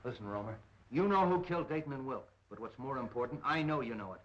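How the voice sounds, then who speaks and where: An actor playing a firm, hard-boiled police captain questioning suspects in a 1930s mystery film. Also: male voice, mid-range voice, expressive, fast talker, natural voice. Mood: firm, pressing. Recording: dull, lo-fi 1930s film soundtrack.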